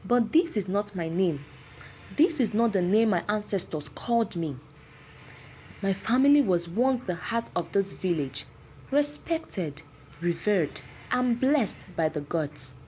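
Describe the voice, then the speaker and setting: A woman's voice: mid-range voice, animated, measured pace, dark tone, slight background noise, natural voice, poor audio. A woman voicing an anguished, vengeful cursed village man in a folktale, grave, dramatic and pleading. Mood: sorrowful, bitter.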